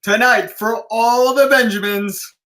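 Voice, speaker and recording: An actor in a script table read playing a brash, showy race announcer who teases the crowd. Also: male voice, extremely high pitch, steady tone, unhurried, pushed and bright, heavily acted. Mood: showy, teasing. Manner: showy, teasing announcer delivery.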